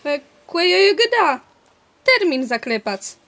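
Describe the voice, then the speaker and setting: A woman's voice: very high-pitched, sing-song, slow, fairly bright tone, exaggerated voice. A young woman playing a cheeky, giggly village bride-to-be with a Kashubian accent in an amateur radio play. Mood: eager. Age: young adult.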